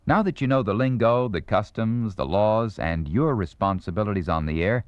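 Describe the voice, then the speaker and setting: Male narrator, American, deep voice, formal and authoritative, dry 1970s record sound, slightly muffled. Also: animated, moderate pace, no echo. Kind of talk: scripted instructional narration, 1970s.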